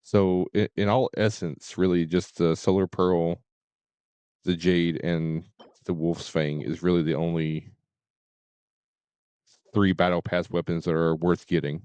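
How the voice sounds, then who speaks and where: A man talking casually as a game streamer, in a halting way with repeated and stuttered words. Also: low voice, flat delivery, a little mellow, smooth, dry recording.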